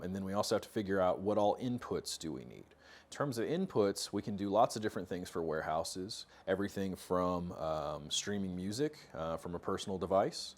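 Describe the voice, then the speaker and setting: A man in his thirties with an American accent, hosting an informal instructional talk to camera and explaining things casually. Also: sits low. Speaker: host of a product tech talk series. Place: indoor workshop studio with metal cabinets.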